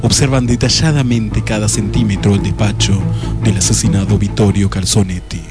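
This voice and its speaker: A man's voice: fairly low pitch, steady tone, normal speed, loud music behind the voice. A man narrating a scripted comic radio drama in a mock-dramatic manner.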